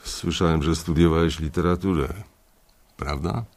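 An older man who runs a bank's trading floor in a radio play, domineering and blunt. His voice sits extremely low with a gravelly fry. He speaks in curt, commanding lines and slips into coarse insults. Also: steady tone, normal speed, darkish tone. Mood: probing. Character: older male bank boss, blunt, domineering and crude. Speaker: head of a bank trading floor. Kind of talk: radio drama acting.

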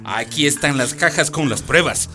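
A man's voice: a little high, expressive, moderate pace, natural voice. An actor playing a stern, sarcastic policeman in a radio drama, curt and commanding. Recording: music behind the voice.

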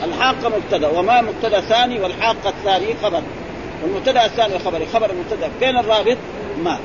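A man's voice: high voice, very fast. A man teaching grammar, quizzing his students with constant short prompts, in with a tinge. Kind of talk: classroom grammar lesson. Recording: dull lo-fi recording with crowd and street noise.

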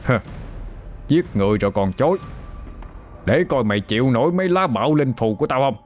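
A man with a Southern Vietnamese accent voicing a stern old male exorcist who scolds gruffly. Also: mid-range voice, lively intonation, fairly quick, soft background music, voice pushed up, lightly acted. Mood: stern scolding.

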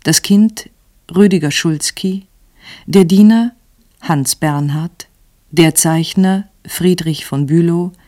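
A woman reads credits as a radio announcer. Her voice is low and mellow, and she takes her time with each item, formal and measured throughout. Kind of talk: formal read cast credits.

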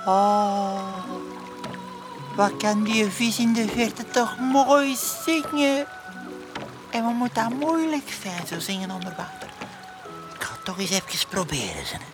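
An actress playing a simple, cheerful poor fisher at sea in a musical children's radio play, musing and rambling in a folksy way. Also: female voice, medium pitch, expressive, measured pace, natural voice. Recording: voice over loud music.

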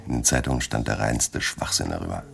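A middle-aged man with an extremely deep, heavily gravelly voice over clear background music. He is a weary, dogged writer, brooding and wry as he tells his story in a radio drama. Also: fairly quick, exaggerated voice. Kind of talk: German radio crime drama acting.

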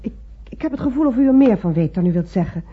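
A woman's voice: fairly high pitch, animated, fast talker, slightly rough. An actress playing an anxious, insistent female patient in a radio drama, speaking earnestly. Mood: uneasy, insistent. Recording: muffled old radio recording.

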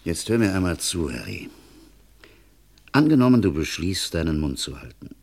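A man's voice: low-pitched, sing-song, normal speed, heavily acted. An actor playing a level-headed, insistent male friend giving stern advice in a vintage German radio crime play, firm and reasoning. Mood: urgent, persuasive.

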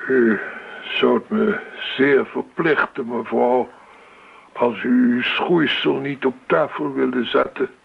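An actor playing a prim, fussy waiter with a gravelly voice and stiffly formal manner in a radio drama. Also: male voice, medium pitch, fairly even intonation, slow, full character voice. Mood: stiffly polite. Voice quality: gravelly, husky. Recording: thin, phone-like old radio recording.

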